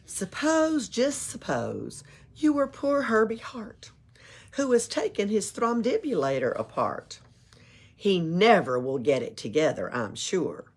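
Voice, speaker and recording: A woman's voice: medium pitch, slow pace, slightly rough. A woman reading a rhyming picture book aloud at a library storytime, playful, with little asides of her own. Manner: expressive, playful, adds asides and chuckles.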